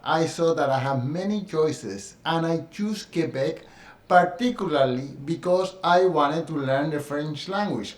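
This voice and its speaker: A man's voice: on the high side, echoey room. A middle-aged man from Colombia with a Spanish accent, talking earnestly about his experience, with frequent fillers and restarts. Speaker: dance teacher sharing his experience. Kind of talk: personal monologue.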